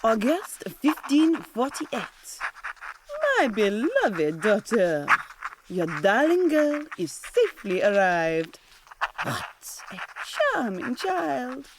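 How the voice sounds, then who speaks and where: A woman's voice: a little high, big pitch swings, slow pace, background noise, heavily acted. An actress playing an elderly, doting duchess grandmother gushing as she reads a letter in a dramatised audiobook. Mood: doting, delighted.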